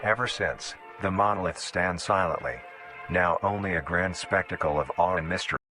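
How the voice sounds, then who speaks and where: A man's voice: low-pitched, moderate pace, sharp tone. A man reading scripted documentary narration in a formal, grandiose manner. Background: music.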